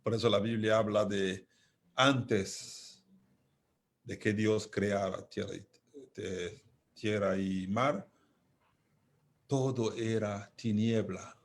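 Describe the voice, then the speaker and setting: A man with a Congolese accent speaks very slowly in a fairly low voice. He delivers a reflective philosophical talk, deliberate and emphatic, circling back to key phrases with pauses between them. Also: fairly even intonation. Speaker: invited speaker, philosopher and theologian.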